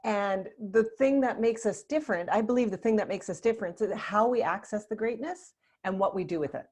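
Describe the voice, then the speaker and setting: A woman's voice: medium pitch, a little fast, darkish tone. A woman life coach hosting an interview, speaking in an affirming, enthusiastic way. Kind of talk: interview conversation.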